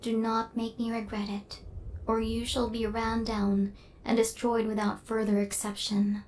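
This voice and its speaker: A woman plays a female killer drone in an ASMR roleplay, with a slightly high, very even voice. Her phrasing is stilted, literal and clinical. She is stern yet softening, and there is faint noise behind her. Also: unhurried, poor audio.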